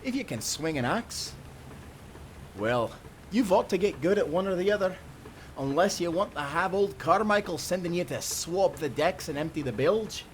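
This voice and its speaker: Guy doing a dreamy cheerful young deckhand, kinda high voice, old sea talk, fantasy audio drama. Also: animated, moderate pace, a little hiss or hum. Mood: cheerful, wondering. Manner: old-time nautical dialect. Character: cheerful, dreamy young sailor, credulous and chatty. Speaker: sailor guide and companion. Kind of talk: cinematic audio roleplay, nautical fantasy.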